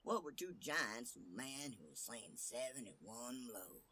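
A man narrating a fairy tale expressively, dramatic, often dropping to hushed phrase endings. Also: mid-range voice, sing-song, measured pace, slightly rough. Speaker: storyteller.

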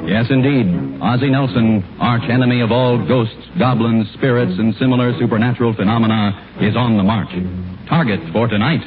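A man's voice: mid-range voice, steady tone, fairly quick, over loud music. A man working as a 1940s radio announcer, delivering scripted lines with dramatic, polished emphasis. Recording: thin, narrowband old radio recording.

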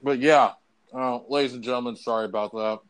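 Male podcast host, mid-pitched voice, dry sound, informal and sweary movie-chat delivery. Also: steady tone, a little fast, clean tone, dry recording. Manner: informal, profane. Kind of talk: casual movie review chat.

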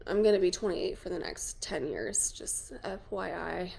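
Chatty young woman with a gravelly edge. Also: on the low side, lively intonation, moderate pace, a little mellow. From New Mexico. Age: 20s. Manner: chatty, self-deprecating, playful asides. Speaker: podcast host, wedding photographer. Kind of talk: casual chat.

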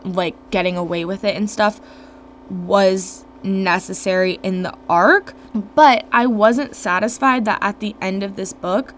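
Young female podcast host, gravelly worn voice, rambling chatty delivery, faint hum behind. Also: medium pitch. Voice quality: a little gravelly. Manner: chatty, lots of "like" fillers, expressive. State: voice worn from screaming. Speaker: podcast host reviewing a book. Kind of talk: casual solo podcast talk. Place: home room. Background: faint fridge hum.

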